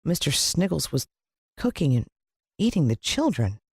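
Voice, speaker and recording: Animated American woman reading a children's story. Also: sits low, normal speed, husky, no echo, studio quality. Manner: dramatic, playing a child's first-person point of view. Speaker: storybook narrator. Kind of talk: expressive scripted children's story narration.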